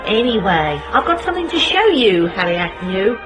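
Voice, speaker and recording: An actress playing a sharp-tongued, quietly bitter British single woman in a radio drama, in dialogue and inner narration. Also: mid-range voice, very animated, moderate pace, music in the background, natural voice. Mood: wistful, guarded.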